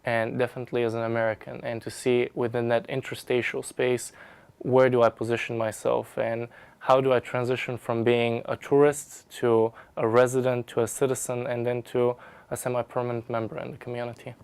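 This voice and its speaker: A man's voice: mid-range voice, flat delivery, a little fast, gravelly, a little mellow, clear, resonant tone, dry recording. A young man from Bulgaria giving a personal testimonial in articulate, formal academic language. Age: young adult. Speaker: research scholar, graduate student. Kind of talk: prepared personal testimonial to camera.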